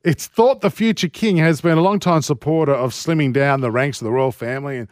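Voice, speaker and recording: A man's voice: fairly high pitch, a little fast, no room sound, studio quality. A man co-hosting a radio show, reading out news stories in casual, teasing banter. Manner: teasing, cheeky, jokey. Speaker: radio co-host.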